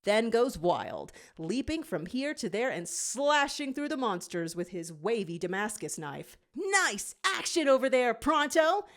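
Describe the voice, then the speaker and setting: Animated woman narrating a dramatic story. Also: fairly low pitch.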